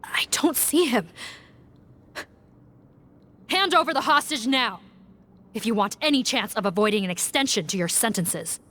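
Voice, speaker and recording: A woman's voice: on the high side, lively intonation, moderate pace, long pauses, a bit bright, slight background noise. An actress playing a stern, authoritative female prison guard officer in a game cutscene. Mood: commanding. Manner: commanding, authoritative.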